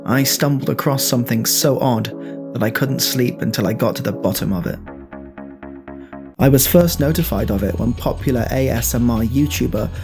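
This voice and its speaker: A man narrating a mock-serious ASMR documentary in a solemn, dramatic, deliberate manner. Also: mid-range voice, vocal fry. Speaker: narrator, ASMR video creator. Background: music.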